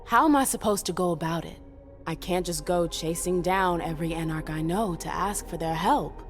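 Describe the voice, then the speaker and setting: A woman's voice: fairly low pitch, normal speed, soft background music, natural voice. An actress playing a wary, defiant female vampire agent in a video game, guarded and skeptical in her delivery. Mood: guarded, reluctant. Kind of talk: dramatic video game acting.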